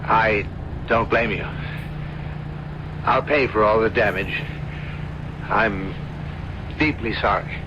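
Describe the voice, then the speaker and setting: Through a muffled old radio recording with vehicle noise comes a solemn professor. He speaks slowly, formal and courteous, sounding troubled in a mystery drama. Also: fairly low pitch. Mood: shaken, apologetic. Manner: measured, formal.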